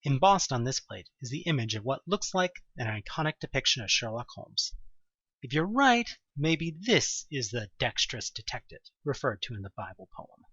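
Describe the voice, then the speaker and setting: A man narrates a horror audio play with lively swings in pitch and a bright, forceful, slightly rough voice. His delivery is dramatic and expressive, with a wry edge. The sound is dry and a little dull, like a modest home recording. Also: moderate pace, dry recording. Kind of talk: dramatic scripted second-person horror narration.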